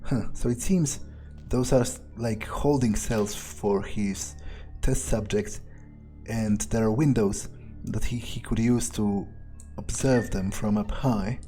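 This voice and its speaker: A man role-plays a wary vampire thinking aloud through a video game. His mellow voice moves slowly and hesitantly, musing over what he finds, with faint music behind. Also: lowish voice. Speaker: gamer playing in character. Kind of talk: in-character game playthrough commentary, thinking aloud.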